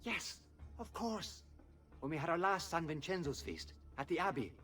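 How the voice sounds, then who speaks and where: An actor playing a genial, chatty, slightly dim friar in a video game cutscene. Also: male voice, fairly high pitch, big pitch swings, moderate pace, bright, forceful tone, far from the mic. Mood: genial, musing. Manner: rambling, helpful.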